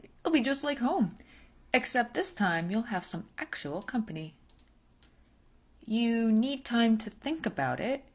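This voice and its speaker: A woman's voice: fairly high pitch, big pitch swings, unhurried, pauses often, exaggerated voice. A woman playing an obsessive, possessive female vampire in an intimate ASMR roleplay, often dropping into whispers, hungry and coolly domineering. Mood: condescending, menacing.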